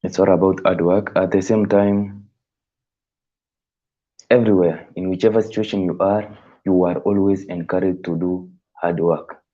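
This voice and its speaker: A man whose first language is not English, hosting a live stream in casual, halting talk that rephrases as he thinks aloud. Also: low voice, fairly even intonation, dark tone, highs cut off.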